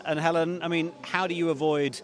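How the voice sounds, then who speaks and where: A male sports presenter hosting live rugby coverage, bantering with players before handing over to commentary. His voice sits fairly high for a man, and his delivery is chatty and relaxed. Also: brisk. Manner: chatty, warm-hearted banter with guests. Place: rugby stadium, then a commentary box.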